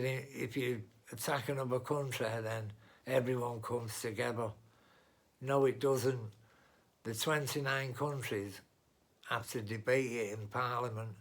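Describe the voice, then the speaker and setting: A man's voice: medium pitch, vocal fry. A man giving a blunt, rambling monologue straight to his viewers, with restarts and asides. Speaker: vlogger. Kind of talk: unscripted political monologue.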